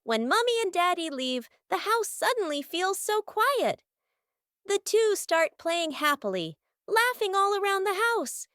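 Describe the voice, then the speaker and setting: Woman, children's story narrator, very high voice, lively intonation, dry close sound. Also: unhurried, no echo. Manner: expressive storybook delivery.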